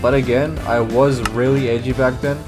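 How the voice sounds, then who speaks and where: A man's voice: medium pitch, little pitch movement, moderate pace. Young guy w/ telling funny school stories, kinda edgy and sarcastic, music playing. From Georgia (country). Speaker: YouTuber. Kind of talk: comedic storytelling narration. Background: background music.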